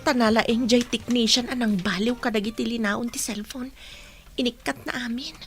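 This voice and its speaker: A woman's voice: a little high, steady tone, normal speed, soft background music, close to an everyday voice. An actress with a Filipino accent playing a carefree, chatty young female student in a radio drama.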